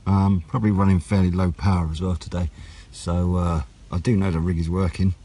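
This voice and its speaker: A British man chatting casually in a vlog, with a rambling, unhurried, low-key way of talking. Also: little pitch movement, warm tone, husky. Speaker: radio hobbyist vlogger. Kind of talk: casual outdoor vlog chat. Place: outdoors on wooded high ground by a road. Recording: outdoor noise with passing vehicles.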